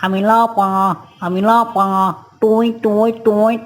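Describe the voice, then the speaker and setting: A woman's voice: a little high, flat delivery, slow, crowd noise. A woman voicing a chirpy little jungle creature in a cartoon, repeating nonsense chirps. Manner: chirping nonsense syllables, repetitive.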